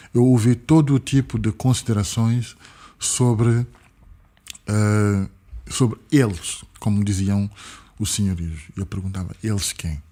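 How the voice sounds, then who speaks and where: A man's voice: lowish voice, mellow. Emphatic Senegal-born man, French-tinged accent. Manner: emphatic, repeats words for stress. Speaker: guest, activist. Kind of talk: conversational interview, earnest. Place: podcast studio.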